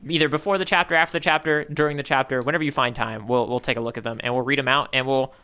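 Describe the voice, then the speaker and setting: A man's voice: medium pitch, steady tone, very fast, bright, forceful tone, clean tone. A man co-hosting a podcast in casual discussion, talking in a playfully grandiose, rambling way. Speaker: podcast co-host. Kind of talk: casual manga discussion banter.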